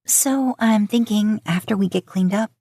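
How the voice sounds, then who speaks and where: A woman's voice: medium pitch, a little slow, dark tone, dry recording, voice pushed up. A woman voicing a sweet, playful young woman in an audio fan story, speaking in a light, quipping way. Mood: playful, hopeful.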